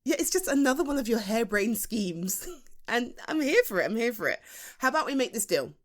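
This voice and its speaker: A British woman playing a playful, affectionate best friend in an intimate audio roleplay, speaking in a halting, giggly, conversational way. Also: fairly high pitch, big pitch swings. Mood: giggly, excited. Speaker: ASMR roleplay voice performer. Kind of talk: intimate, naturalistic audio roleplay addressed to the listener.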